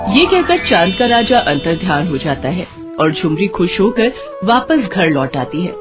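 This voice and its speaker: Very expressive woman storyteller. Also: lowish voice, moderate pace. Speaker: story narrator. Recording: music behind the voice.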